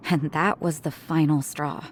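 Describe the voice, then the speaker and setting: A woman voice acting a composed, kind doctor in a video game, speaking in a calm, measured, polite way. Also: deep voice, slight background noise. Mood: measured, explaining.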